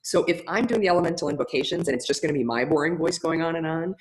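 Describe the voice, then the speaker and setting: A woman with a big, loud voice teaching in a webinar, talking through ideas in a chatty way with frequent restarts. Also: deep voice, lively intonation, fast. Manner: chatty, many restarts and fillers. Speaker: ritual facilitation teacher. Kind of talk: webinar teaching, answering a question.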